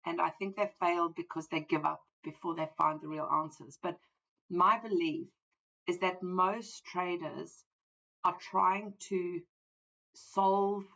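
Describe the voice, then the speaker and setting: A woman hosting a live stream about trading mindset, talking in a chatty, earnest way with a voice croaky from the flu. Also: on the low side, steady tone, takes it slowly, fairly bright tone, dull audio. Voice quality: gravelly. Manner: chatty, earnest, thinks aloud with fillers. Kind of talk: casual livestream talk.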